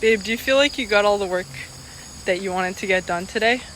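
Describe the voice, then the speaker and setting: A woman's voice: medium pitch, a little fast, a bit bright. A woman chatting casually outdoors on a trail, rambling and filling her sentences with "like". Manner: rambling, filler-heavy, repeats "like". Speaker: vlogger. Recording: noisy outdoor audio with insect ambience. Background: insects and nature ambience.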